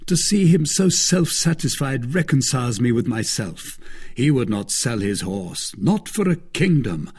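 Guy as young teasing storybook soldier, spirited, swoopy pitch, slightly husky. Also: big pitch swings, measured pace, a little mellow. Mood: fond, mocking.

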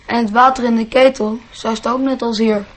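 Radio play boy, played by a woman: young, lonely, dreamy, high-pitched, sincere. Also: fairly even intonation, brisk, lightly acted, poor audio. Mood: shy, wistful.

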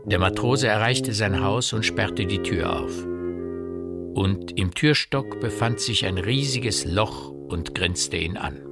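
An actor playing a detached, ominous storyteller, reading literary narration in a radio drama. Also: male voice, on the low side, slow. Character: detached, ominous male storyteller of a village tale. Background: loud music.

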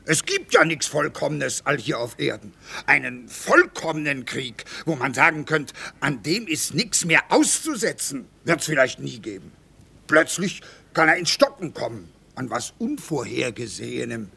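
An actor in a classic German radio play, playing a self-important, earnest army chaplain who speaks in preachy, declamatory rhetoric with a husky, gravelly voice. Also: male voice, mid-range voice, very animated, normal speed, bright, forceful tone, exaggerated voice. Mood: philosophizing, assured.

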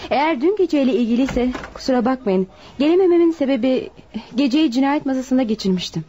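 A high, soft-toned female voice plays a worried young waitress. She speaks fast, respectful and earnest, in radio drama style. The recording is slightly dull. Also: lively intonation, slight background noise. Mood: anxious, defensive. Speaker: voice actor. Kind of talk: Turkish radio drama acting.

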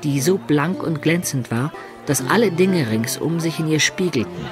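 An actor playing an adventurer who recounts his journey in a radio drama, in measured literary narration. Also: on the high side, expressive, close to an everyday voice. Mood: composed. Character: male adventurer recounting his long journey, composed storyteller. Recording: faint music under the narration.

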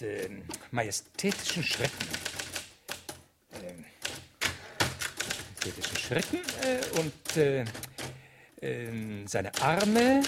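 An actor in a 1970s radio play voicing a flustered writer of pious books, halting and curt by turns. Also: male voice, mid-range voice, exaggerated voice. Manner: halting and stammering, turning curt and sarcastic when pressed. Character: flustered, devoted son, male writer of pious biographies. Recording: heavy noise, clicking typewriter sounds.

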